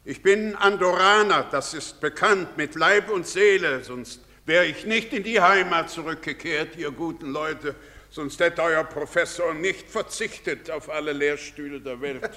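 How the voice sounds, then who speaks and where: A pompous lecturing professor. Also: male voice, on the high side, measured pace, sharp tone. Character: boastful well-travelled professor, pompous. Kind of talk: radio play acting. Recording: long reverberant studio echo.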